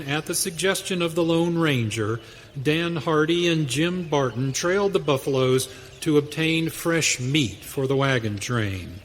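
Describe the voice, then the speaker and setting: A measured Old West storyteller narrates a scripted radio adventure in a slightly high voice, with hall reverb and faint noise. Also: male voice, a bit bright.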